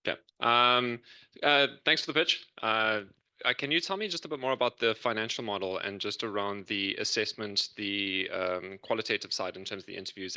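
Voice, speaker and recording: A man on a pitch panel asking questions spontaneously, with halting, rambling phrasing. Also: bright, forceful tone.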